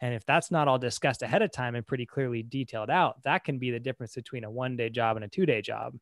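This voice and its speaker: A man's voice: medium pitch, fast, no room sound, studio quality. An American man chatting casually on a podcast as someone who works in tree service. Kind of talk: casual shop talk.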